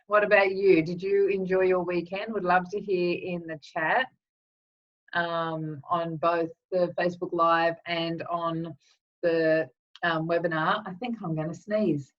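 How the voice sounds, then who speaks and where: A woman energy healer hosting a live webinar with a slightly croaky throat, chatting casually with lots of ums and greeting viewers by name. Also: on the low side, flat delivery, a little slow. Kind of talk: casual live-stream talk. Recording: distant, dull lo-fi webinar audio.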